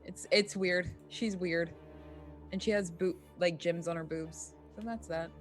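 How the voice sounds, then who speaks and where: Female player, giggly and rambling, lower pitch, soft music behind. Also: steady tone, measured pace. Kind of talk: casual tabletop chat.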